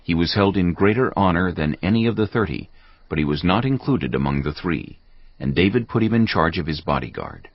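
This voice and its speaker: Deep husky guy narrating the bible, solemn, gravelly, lots of intonation, bit muffled. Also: animated, dry recording. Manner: solemn, measured phrasing. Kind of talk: scripted dramatized Bible narration.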